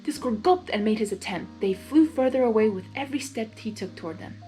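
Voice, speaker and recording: Female amateur story reader, higher-pitched, expressive narration with informal reactions, faint music underneath. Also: a little fast. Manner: expressive, giggly asides. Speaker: fan fiction reader.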